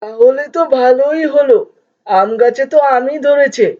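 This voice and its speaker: A woman voices a flippant village neighbour in a cartoon. The voice is fairly high and the recording slightly muffled. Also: normal speed, warm tone, close to an everyday voice. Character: breezy, joking villager chatting with a neighbour. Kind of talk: animated cartoon dialogue. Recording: dull lo-fi cartoon soundtrack.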